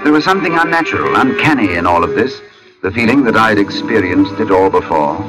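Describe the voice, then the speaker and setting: Male actor, low register, expressive swings, as a haunted, brooding storyteller in vintage radio suspense, music underneath. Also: natural voice, low-quality recording. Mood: uneasy, haunted. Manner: brooding, deliberate, halting when shaken. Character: uneasy male sketch artist narrating an eerie tale. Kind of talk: 1940s radio suspense acting. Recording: old radio recording with music behind.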